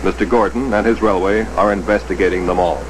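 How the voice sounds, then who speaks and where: A man reading scripted 1950s documentary narration in a measured, formal, dramatic way. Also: low voice, steady tone. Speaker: newsreel narrator. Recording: noisy old 1950s film soundtrack.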